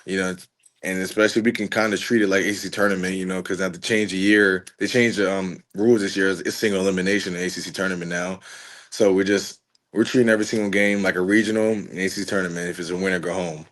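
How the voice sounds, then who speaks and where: Deep-voiced young man, rambling casual chat. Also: quick pace. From Richmond, Virginia. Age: 20s. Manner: relaxed, rambling, many "you know" fillers. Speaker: college baseball pitcher, guest.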